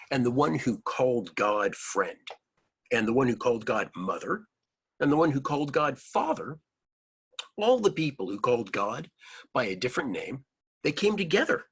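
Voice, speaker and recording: A man reading a picture book aloud to children, adding simple explanatory asides in an expressive manner. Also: mid-range voice, moderate pace, husky.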